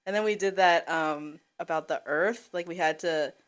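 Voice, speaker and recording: A woman's voice: fairly low pitch, normal speed. A young woman chatting casually with her friend, giggly and exclamatory, peppering her talk with filler "like". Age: young adult. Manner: giggly, exclamatory, uses "like" constantly. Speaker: podcast guest and friend of the host. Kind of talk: casual, reactive chat.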